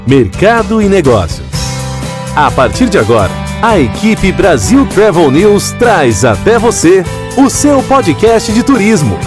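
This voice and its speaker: A man reading a scripted podcast intro in a slow, measured announcer voice. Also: high voice, big pitch swings. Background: guitar music.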